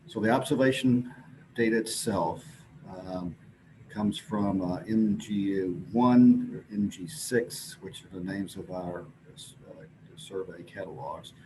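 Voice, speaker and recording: Deep-voiced man giving a halting technical talk. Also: noisy background. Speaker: conference presenter. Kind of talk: technical scientific presentation, loosely extemporized.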